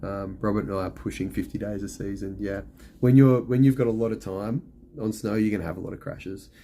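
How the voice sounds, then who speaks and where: A middle-aged man with a hoarse, fading voice, chatting casually on a live stream and answering viewers' questions with lots of ums and you-knows. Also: fairly low pitch, brisk, mellow, a little hiss or hum. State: hoarse, losing his voice.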